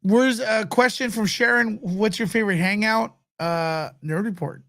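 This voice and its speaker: A man's voice: sits high, moderate pace, fairly bright tone. A man with a nasal voice hosting a casual livestream, answering viewer questions in a laid-back way. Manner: laid-back, laughs often, mock radio-announcer bits. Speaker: show host.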